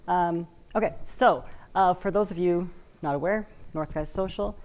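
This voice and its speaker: A woman's voice: fairly low pitch, normal speed, a little mellow. A woman from Washington State giving a live conference talk, speaking conversationally with many fillers and hedging words. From Washington State, USA. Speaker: conference speaker for a community cooperative. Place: conference stage, in front of an audience.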